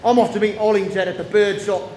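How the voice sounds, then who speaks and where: A man's voice: high-pitched, steady tone, quick pace, darkish tone, lightly acted. A man playing a grave, seasoned police superintendent in a radio play. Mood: inquisitive, brisk. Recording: echoey room with faint noise.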